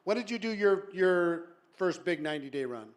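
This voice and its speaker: A man's voice: high voice, expressive, normal speed. A man hosting a motivational interview, emphatic and repeating key points for effect. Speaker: event host, interviewer.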